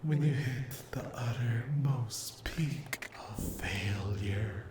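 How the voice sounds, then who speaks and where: A man plays a sadistic sleep paralysis demon in an ASMR roleplay. He speaks extremely slowly, drifting into breathy whispers and drawn-out, gloating endearments. The voice sits in a roomy echo with clear noise behind it. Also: medium pitch, close to an everyday voice. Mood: possessive, gloating. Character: cruel, possessive male sleep paralysis demon, sweetly menacing.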